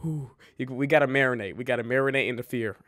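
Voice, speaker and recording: A young adult man giving casual, excitable reaction commentary in a jumpy, slangy, joking and rambling way, close on the microphone. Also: medium pitch, fast, no echo. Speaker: reaction video host. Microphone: close microphone at a desk. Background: music from the watched videos.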